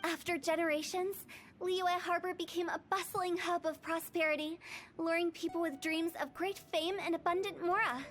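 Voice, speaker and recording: A mischievous young funeral director. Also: female voice, very high voice, fairly even intonation, moderate pace, a bit bright, a little hiss or hum. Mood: solemn. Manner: teasing, then solemn storytelling. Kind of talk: game cutscene voice acting. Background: game music.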